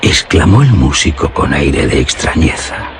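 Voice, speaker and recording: A man's voice: a bass voice, big pitch swings, unhurried, noisy background. A man reading scripted literary narration as the narrator of a radio drama.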